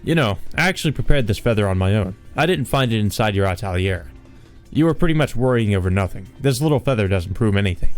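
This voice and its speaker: A man's voice: on the low side, animated, fast, soft background music, lightly acted. A man playing a confident, wry, tough male investigator from a visual novel in a casual, assertive manner. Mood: smug.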